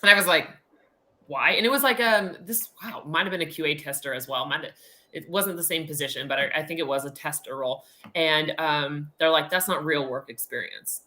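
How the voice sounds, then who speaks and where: A middle-aged American woman speaks in a low register with a bright, forceful edge. She tells stories conversationally as a guest and leans on words for emphasis. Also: brisk. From United States. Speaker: podcast guest, recruiter. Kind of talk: casual conversational anecdotes.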